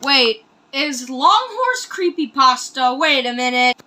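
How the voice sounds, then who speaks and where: High, bright-voiced woman reacting excitedly. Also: slow. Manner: excitable, exclamatory, rambling. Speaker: reaction video host. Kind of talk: casual reaction commentary.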